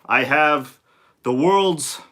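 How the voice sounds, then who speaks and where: A man with a bright, slightly high voice hosts a casual livestream. He has a sore throat and sounds congested. He talks in a loose, slangy way and keeps greeting viewers by name. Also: extremely slow. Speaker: livestream host. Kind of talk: casual live-stream chat.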